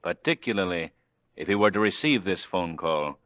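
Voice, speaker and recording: Suave, lively radio sleuth. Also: male voice, low voice, moderate pace, no echo. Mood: confident. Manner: smooth-talking, sardonic, assured. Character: suave, wry male amateur sleuth, scheming and confident. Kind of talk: old-time radio drama acting. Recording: dull old radio recording.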